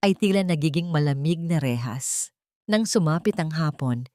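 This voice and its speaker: A woman's voice: deep voice, darkish tone, no room sound, clean studio sound. A woman storyteller reading scripted, dramatic narration for an audio story.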